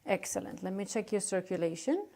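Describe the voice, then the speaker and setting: A woman's voice: medium pitch, animated, a little fast, vocal fry, dark tone. A woman teaching a clinical exam, giving a patient polite, step-by-step directions with brief words of encouragement. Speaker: nursing instructor demonstrating an exam.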